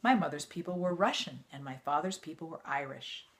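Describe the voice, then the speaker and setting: A woman reading an autobiography aloud like a teacher to students, in a measured, expressive storytelling way. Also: low voice.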